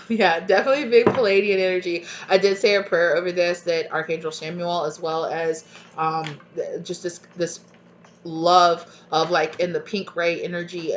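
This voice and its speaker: A woman with a stuffy, congested voice rambles through a channeled tarot reading, her pitch held fairly flat. She keeps checking in with the listener as she goes. The home recording sounds dull and lo-fi, with a faint hiss behind her. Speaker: tarot reader. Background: dogs barking at times.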